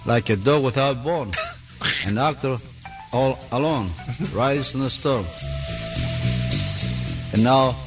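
A man's voice: mid-range voice, slow pace, long pauses, close to an everyday voice. A man playing a Greek-accented hot dog vendor who croons like a rock singer in a comic radio bit. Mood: crooning. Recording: distant archive clip with music.

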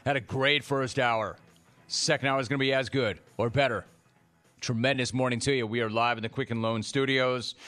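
A man hosting a live sports radio show, introducing a guest and asking questions in a smooth, measured broadcaster delivery. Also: medium pitch, steady tone, a bit bright, soft background music. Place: radio studio.

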